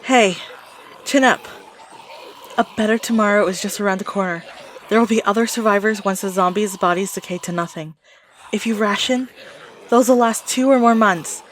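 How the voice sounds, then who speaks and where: A woman's voice: medium pitch, moderate pace, a little hiss or hum, natural voice. A woman playing a desperate, protective zombie outbreak survivor in an audio drama, giving urgent, clipped commands. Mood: encouraging, resigned.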